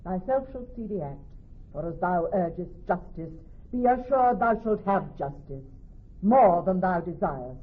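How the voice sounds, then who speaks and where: A woman playing a clever young woman posing as a male judge in classical radio drama, speaking in a measured, formal, authoritative way. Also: lowish voice, expressive, slightly rough. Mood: stern, commanding. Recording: thin, narrow-band old radio broadcast.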